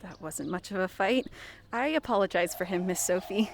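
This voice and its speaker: A woman's voice: medium pitch, moderate pace, creaky, background noise, close to an everyday voice. A woman playing an earnest small-town reporter in an audio drama, straight-laced, with a dry, probing manner. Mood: exasperated. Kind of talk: scripted audio fiction acting.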